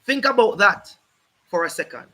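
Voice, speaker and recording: A hoarse man hosting a livestream, explaining a court ruling in an emphatic, repetitive, lecturing way while addressing his viewers directly. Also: high-pitched, lively intonation, normal speed, bright, forceful tone. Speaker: host of a legal rights livestream. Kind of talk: livestreamed legal commentary.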